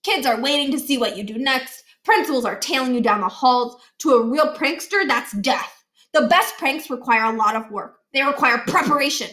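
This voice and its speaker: An earnest know-it-all schoolboy from a storybook, put on by a woman. He lectures with measured self-assurance in a high voice. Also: bright, forceful tone. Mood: lecturing, confident.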